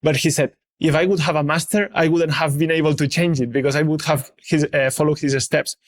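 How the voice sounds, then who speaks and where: Higher-voiced man, careful interviewer. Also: fairly even intonation, brisk. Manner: careful, searching for words, restarts. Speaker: interviewer, podcast host. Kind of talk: conversational interview questions.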